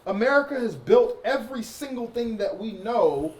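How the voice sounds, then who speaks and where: A man hosting a casual podcast, talking emphatically and profanely and often agreeing with a quick "facts". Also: extremely high pitch, lively intonation. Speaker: podcast host. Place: barbershop. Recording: distant mic with electric shaver hum.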